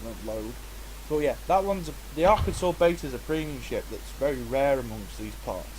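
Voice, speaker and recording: A man's voice: medium pitch, expressive, brisk, darkish tone, a little hiss or hum, low-quality recording. A man chatting through a game he is playing, self-mocking and talkative, sounding stuffy from a cold. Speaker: gaming channel host. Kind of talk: casual gameplay commentary.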